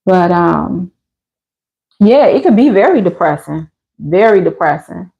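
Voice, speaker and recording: A woman's voice: on the low side, measured pace, gravelly. An American woman in her fifties chatting casually and candidly with viewers as a livestream host, in colloquial speech. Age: 50s. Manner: candid, conversational, colloquial, animated retelling with acted-out quotes. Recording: slightly dull lo-fi livestream audio.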